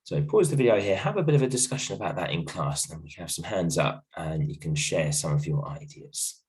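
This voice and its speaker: Croaky guy teaching kids from home, real deep voice, lots of ums, gentle. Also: expressive, fairly quick, rough recording. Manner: gentle, reassuring, many ums and restarts. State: croaky voice from a cold, coughing. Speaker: primary school teacher. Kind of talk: recorded video lesson for a class.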